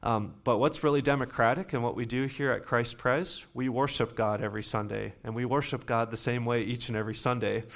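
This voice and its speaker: A young male pastor gives a Sunday sermon in a relaxed, conversational way. He keeps punching key words louder for emphasis and then slows right down when he reads a passage aloud. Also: mid-range voice, gravelly. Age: young adult. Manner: conversational preaching with bursts of emphasis, reading scripture slowly.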